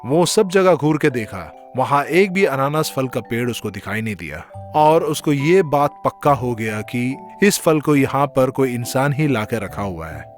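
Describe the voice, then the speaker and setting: A man's voice: mid-range voice, lively intonation, moderate pace, hardly any pauses. Man narrating a children's story over music.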